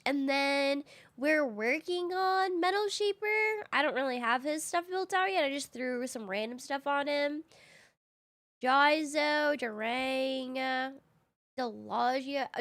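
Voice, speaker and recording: A woman game streamer chatting casually with her viewers while she plays, slightly raspy from just waking up, easygoing and quick to laugh. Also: high voice, fairly bright tone. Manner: chatty and easygoing, laughs often, thinks aloud. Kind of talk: casual live-stream chat, answering viewers.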